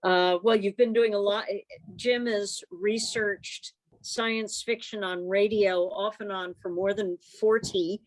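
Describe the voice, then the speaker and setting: Slow, halting woman hosting online. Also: mid-range voice, fairly even intonation, poor audio. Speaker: club meeting co-host introducing a speaker. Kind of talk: informal meeting talk. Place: online video meeting. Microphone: video call.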